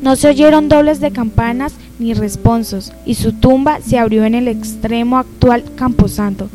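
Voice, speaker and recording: Radio play townswoman , female actor: curious, careful recited delivery, fairly high voice over music. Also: steady tone, normal speed, dark tone, close to an everyday voice. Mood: earnest reading. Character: curious young townswoman reading history aloud to companions. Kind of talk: amateur radio drama, reading aloud. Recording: music behind the voice.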